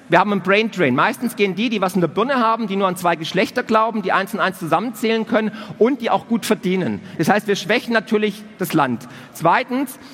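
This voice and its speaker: A man's voice: sits high, fairly bright tone. A man hosting an event, giving a blunt, sarcastic, comedic talk to an audience. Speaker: event host and speaker. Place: large hall, on stage. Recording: long hall reverb.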